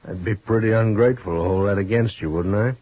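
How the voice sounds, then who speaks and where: An actor playing a drunk, wounded gambler in an old western radio drama, husky and halting, with a rueful manner. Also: male voice, fairly low pitch, no echo, close to an everyday voice. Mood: wry, relieved. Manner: slow, halting, rueful chuckling. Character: wounded gambler gunslinger, drunk, bitterly relieved. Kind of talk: 1950s western radio acting. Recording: dull, dry old radio recording.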